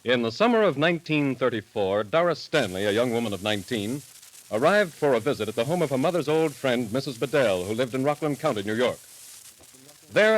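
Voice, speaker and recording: A man narrating in the formal, measured, dramatic style of a 1930s radio announcer. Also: mid-range voice, poor audio. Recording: vintage 1938 radio broadcast recording.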